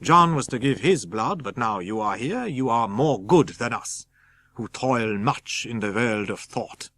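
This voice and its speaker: A man voicing a grave, kindly foreign professor, speaking with deliberate emphasis and quaint non-native phrasing in an audiobook. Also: mid-range voice, animated, a bit bright. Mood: grave, urgent.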